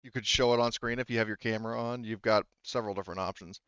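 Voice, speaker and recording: Low, smooth-voiced male game master. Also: steady tone, fairly bright tone, no echo, lo-fi sound. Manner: halting, rambling explanations. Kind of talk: improvised narration of a tabletop roleplaying game.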